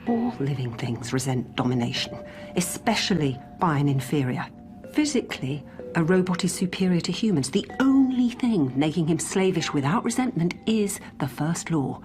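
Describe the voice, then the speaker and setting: A woman's voice: deep voice, sing-song, normal speed, a little mellow, faint music behind. A woman playing a shrewd, blunt lawyer and robot expert in a radio drama, probing with exacting authority. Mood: stern, insistent.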